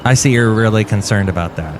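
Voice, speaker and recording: A man's voice: lowish voice, quick pace, background noise. Rambling man hosting a comedy podcast. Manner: rambling, jokey, deadpan asides. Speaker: podcast host.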